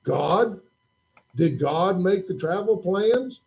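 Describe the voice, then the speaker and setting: A man's voice: sits high, expressive, slow, gravelly, a little mellow, heavily acted. A man voicing a curious, playful young boy puppet in a church children's puppet show, asking questions and cracking jokes. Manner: questioning, jokey and full of wonder.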